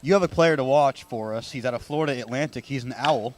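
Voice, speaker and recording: A man's voice: mid-range voice, fast talker. A man hosting a sports radio broadcast, doing pregame talk and play-by-play commentary.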